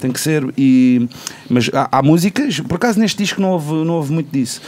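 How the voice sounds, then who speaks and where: A man's voice: fairly high pitch, lively intonation, brisk, a little mellow, a little hiss or hum. A man, a musician explaining things casually in an interview, often repeating words as he goes. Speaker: musician, band member being interviewed.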